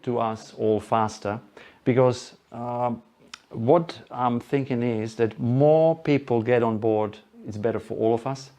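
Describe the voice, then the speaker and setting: A man with a Slovak accent giving an informal, chatty talk to a live group, halting now and then for asides. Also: mid-range voice, warm tone. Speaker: presenter at an electric car group event. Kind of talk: live presentation to a small audience.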